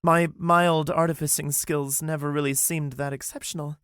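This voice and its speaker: A man's voice: fairly high pitch, flat delivery, moderate pace, fairly bright tone, clear, resonant tone, dry recording, clean studio sound, voice pushed up, natural voice. A man voicing a shy, earnest young woman mage. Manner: hesitant, earnest.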